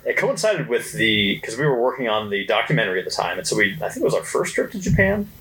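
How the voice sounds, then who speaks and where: A man's voice: mid-range voice, sing-song, fast, a crowd in the background, rough recording. A man telling stories in casual podcast chat, with an emphatic way of talking. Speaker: podcast co-host recalling company history.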